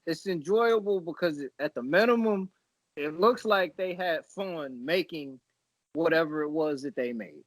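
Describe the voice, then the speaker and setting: A man with a fairly high voice hosts a casual podcast. He talks informally and haltingly, often circling back to repeat a phrase. The sound is very dry, with hardly any room on it. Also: no room sound. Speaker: podcast host. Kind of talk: casual chat about films and games.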